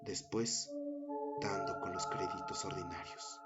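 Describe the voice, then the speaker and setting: Low-voiced man narrating horror over loud music. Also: steady tone, measured pace. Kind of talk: scripted horror story narration. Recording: dull sound under loud music bed. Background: loud background music.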